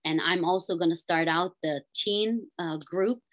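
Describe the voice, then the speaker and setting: A woman's voice: on the low side, unhurried, a bit bright. A woman with a friendly, slightly flustered manner, describing community programs. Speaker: program presenter.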